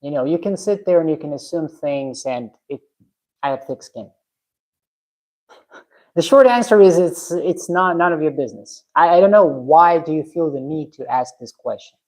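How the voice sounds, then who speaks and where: A woman's voice: low-pitched, lively intonation, moderate pace, a little mellow, rough recording. A woman from Russia, chatting frankly on her own live stream from her garage and answering viewers' comments. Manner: frank, blunt, restarts often. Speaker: livestream host. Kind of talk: casual live stream chat, reading comments. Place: home garage.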